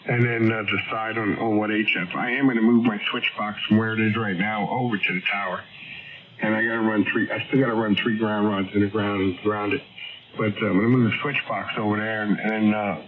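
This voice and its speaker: Older ham operator over heavy static. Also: male voice, lowish voice. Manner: chatty, rambling, with many fillers. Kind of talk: casual on-air chat. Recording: tinny radio signal under loud static.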